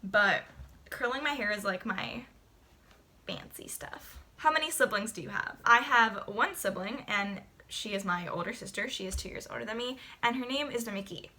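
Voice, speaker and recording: A woman's voice: medium pitch, normal speed, pushed and bright. A young woman chatting casually in a get-to-know-me vlog, rambling with lots of fillers. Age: young adult. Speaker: vlogger.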